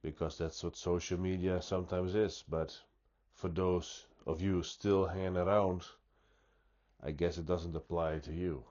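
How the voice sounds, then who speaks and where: A man with a very low, deep voice and a stuffy nose talks unhurriedly in a thoughtful vlog monologue. He chooses his words deliberately, often doubling back to restart a phrase, and the recording sounds a little dull and lo-fi. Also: level tone, a little mellow. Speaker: pipe-smoking vlogger. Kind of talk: unscripted reflective monologue.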